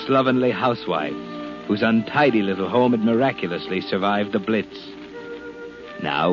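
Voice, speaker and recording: Man acting a deep, sinister mystery storyteller. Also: natural voice. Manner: ominous, theatrical, deliberate. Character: mysterious, sinister night-walking male storyteller, all-knowing. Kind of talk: 1940s radio mystery narration. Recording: dull 1940s radio broadcast recording. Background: music.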